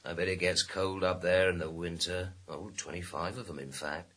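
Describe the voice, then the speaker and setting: An actor in a radio crime drama playing a sardonic, bullying police inspector with a sarcastic, theatrical manner. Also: male voice, low-pitched, steady tone, normal speed, fairly bright tone. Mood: mocking, weary. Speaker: police detective.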